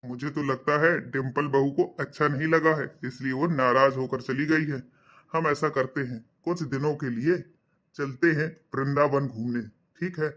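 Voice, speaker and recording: A man doing a gentle pious old father. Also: mid-range voice, fairly even intonation, moderate pace, close to an everyday voice. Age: older. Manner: soft-spoken, reasoning, philosophical. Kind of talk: cartoon voice acting.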